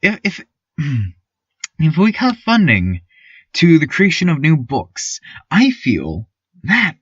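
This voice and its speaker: A man playing a timid, awkward, eerily cheerful small-town radio news host, stammering and pausing through a surreal fiction podcast monologue. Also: fairly high pitch, wildly swooping pitch, husky, no echo, exaggerated voice. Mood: earnest, uneasy. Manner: hesitant, stammering, many ums and pauses. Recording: dull, lo-fi, slightly muffled sound.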